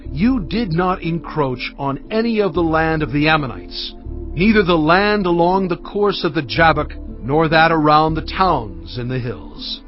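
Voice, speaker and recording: A man playing a solemn leader recounting his people's journey in measured storytelling for a dramatized audio Bible. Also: a little high, muffled recording. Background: music.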